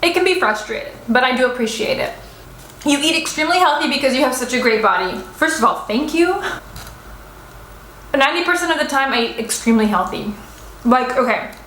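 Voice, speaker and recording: A woman's voice: high-pitched, expressive, brisk, a bit bright, echoey room, slight background noise, poor audio. A young woman in her twenties, vlogging a casual mukbang chat in a restaurant, rambling with many fillers and restarts. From United States. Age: 20s. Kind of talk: casual mukbang chat, answering viewer assumptions.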